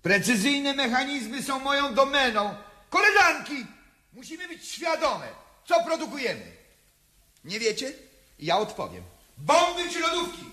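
An actor playing a defiant old woman revolutionary in a radio play monologue, declamatory and swinging from hushed to shouted. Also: extremely high pitch, very animated, measured pace, exaggerated voice. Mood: agitated, declamatory.

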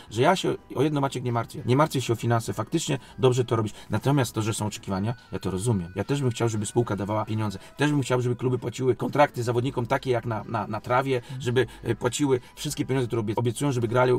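A man's voice: medium pitch, fast talker, hardly any pauses, darkish tone. A man giving unscripted interview answers as a sports executive, emphatic and defensive, repeating phrases and posing rhetorical questions. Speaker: sports league company president and club coach.

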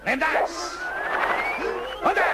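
An actor voicing a vengeful animal villain in a children's radio play, gravelly, theatrical and menacing. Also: male voice, extremely high pitch, wildly swooping pitch, very slow, exaggerated voice, rough recording. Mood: gloating. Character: vengeful, gloating animal villain, bitter outcast boss. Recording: vintage radio play with music underneath.

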